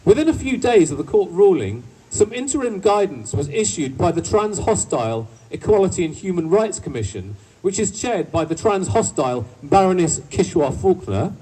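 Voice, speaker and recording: A husky speaker gives a lively protest speech outdoors, tossing in playful asides to the listeners. The voice is picked up from a distance, with a faint crowd in the background. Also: medium pitch, normal speed, few pauses. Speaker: rally speaker. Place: outdoor town square rally.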